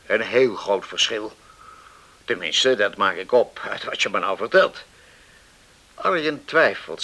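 Radio play role: contented middle-aged bachelor islander, creaky voice with a firm bright edge, calm, plain-spoken reassurer. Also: male voice, mid-range voice, heavily acted. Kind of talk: Dutch radio drama acting.

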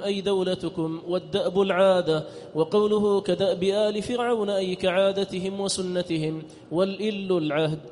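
A man's voice: high-pitched, darkish tone. A man reading a classical text aloud as a student, in a formal recitation that partly turns to chant. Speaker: student reader. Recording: echoey hall, background noise.

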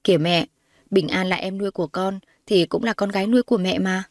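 A protective young man voiced by a woman narrator in an audio drama. He is gentle and placating, a devoted brother with a soothing manner, recorded dry. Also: mid-range voice, fairly even intonation, fast talker, dry recording, close to an everyday voice.

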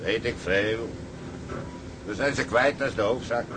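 A man's voice: mid-range voice, unhurried, close to an everyday voice. Actor as a callous seaman in noisy surroundings. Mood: offhand, callous. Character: hard, callous male seaman, unrepentant adventurer. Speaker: actor in a Dutch maritime drama. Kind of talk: dramatic seafaring acting.

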